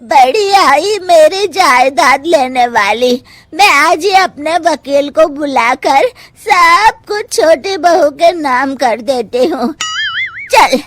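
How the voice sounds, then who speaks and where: A woman voicing a stern, vengeful older mother-in-law in a cartoon story, scolding in slow, drawn-out phrases. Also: high-pitched, lively intonation, a bit bright, faint music behind, voice pushed up, exaggerated voice. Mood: angry scolding.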